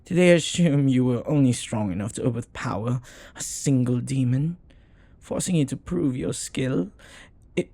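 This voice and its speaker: Jealous demon boyfriend for roleplay audio, played by a man: mellow, husky, intimate, formal and devoted. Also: medium pitch, normal speed. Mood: wary, jealous.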